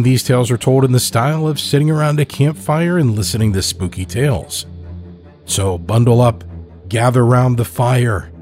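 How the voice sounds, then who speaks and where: A man's voice: mid-range voice, a little mellow. A man reading a scripted introduction as the host of a podcast.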